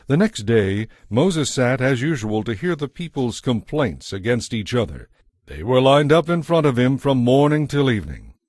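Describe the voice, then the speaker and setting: A man narrating a dramatized Bible from a script in a measured storytelling manner. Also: mid-range voice, big pitch swings, a little mellow, no echo, studio quality.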